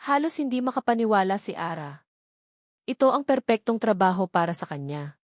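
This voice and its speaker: Female Filipino narrator for dramatic romance audio story, dry recording, average pitch. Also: expressive, fairly quick, dry recording.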